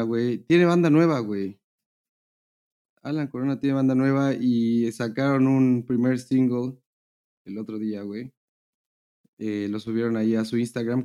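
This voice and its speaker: Male Mexican podcast co-host, medium register, warm relaxed tone, conversational and slangy with casual profanity. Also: medium pitch. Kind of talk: casual chat.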